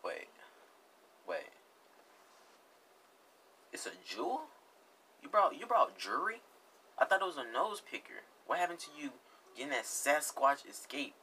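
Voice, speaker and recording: A man's voice: a little high, very animated, measured pace, pauses often, sharp tone, husky, poor audio. A young man giving casual, slangy reaction commentary, repeating himself and exclaiming jokingly. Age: young adult. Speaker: reaction video host. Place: indoor room with green screen.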